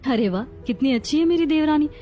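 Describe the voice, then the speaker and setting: A woman playing a kind, concerned elder sister-in-law who gently advises, in a dramatized family story. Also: sits high, expressive, fairly quick.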